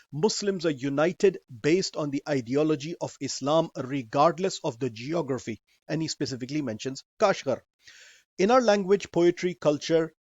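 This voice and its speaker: Earnest Pakistani man, slightly high voice. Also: darkish tone, no echo. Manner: earnest, measured, with Arabic phrases. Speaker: presenter. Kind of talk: scripted talk. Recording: dry, slightly dull recording.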